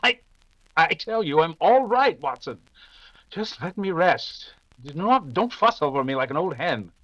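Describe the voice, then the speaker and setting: A man's voice: a little high, big pitch swings, measured pace, a bit bright, no echo, muffled recording, full character voice. A man playing a composed, dismissive, wry detective in an old-time radio mystery, urbane in manner. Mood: weary, irritable.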